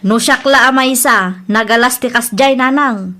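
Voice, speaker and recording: High-voiced gossipy Ilocano woman, acted. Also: a little slow, loud music behind the voice, natural voice. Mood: nosy. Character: gossipy, prying female in-law in a family drama. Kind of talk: Ilocano audio drama acting.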